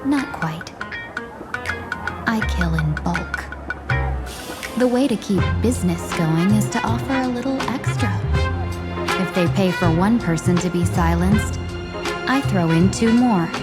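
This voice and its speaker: A woman playing a cool, delicate-looking merchant who poses as a deadly assassin in a video game, speaking in a composed, measured way with veiled threats. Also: mid-range voice, lively intonation, close to an everyday voice. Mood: cool menace. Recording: loud background music.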